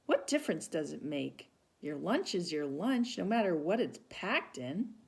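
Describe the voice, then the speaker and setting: Woman playing a concerned mom, very lively intonation. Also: on the low side, unhurried, creaky, exaggerated voice. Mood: apologetic, reasoning. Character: concerned, reassuring mother of a schoolgirl.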